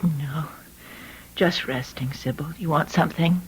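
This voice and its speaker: A woman's voice: low-pitched, unhurried, a little hiss or hum, poor audio. An actress playing a distraught, frightened young woman in an old-time radio mystery, breathless and melodramatic. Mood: guarded. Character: distraught, frightened young woman fearing she seems mad.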